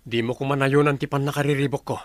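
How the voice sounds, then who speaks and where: A man's voice: medium pitch, level tone, quick pace, dry recording, close to an everyday voice. An actor with an Ilocano accent playing a troubled, doubting businessman and boss in a radio drama. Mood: troubled, defensive.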